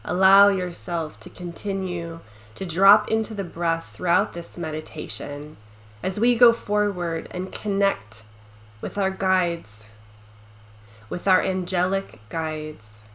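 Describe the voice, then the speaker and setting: A woman leading a guided meditation, speaking gently and soothingly with long pauses between short phrases. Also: fairly low pitch, fairly even intonation, poor audio.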